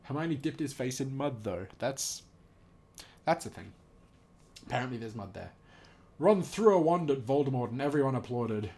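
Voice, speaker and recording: A man's voice: medium pitch, lively intonation, moderate pace, long pauses, darkish tone. A young man reading a text aloud and adding casual, joking, sweary commentary in an amused way. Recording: noticeable background noise.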